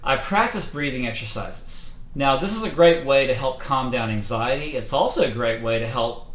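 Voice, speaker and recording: Male vocal coach, mid pitch, casual livestream lesson full of fillers, roomy echo. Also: normal speed. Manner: relaxed, conversational, many fillers. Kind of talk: casual instructional talk. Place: indoors, livestream setup.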